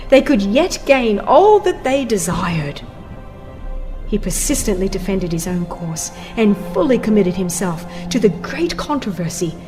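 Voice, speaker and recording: A woman giving a dramatized, scripted narration of a religious book in a solemn, deliberate, theatrical manner, her phrases often ending hushed. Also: medium pitch. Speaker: audiobook narrator. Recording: music behind the voice. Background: background music.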